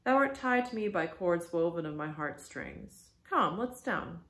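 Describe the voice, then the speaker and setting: A woman's voice: fairly low pitch, animated, unhurried, fairly bright tone. A commanding old whaling captain, acted out by a woman, brooding and half mad, declaiming in archaic speech. The sound is echoey, in a bare room. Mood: impassioned, tender.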